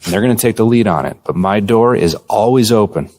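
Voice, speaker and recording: A man playing an earnest, data-minded basketball executive in a video game, speaking in a measured corporate way. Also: lowish voice, darkish tone, no echo, close to an everyday voice. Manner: measured, businesslike, corporate jargon. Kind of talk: conversational video game cutscene acting.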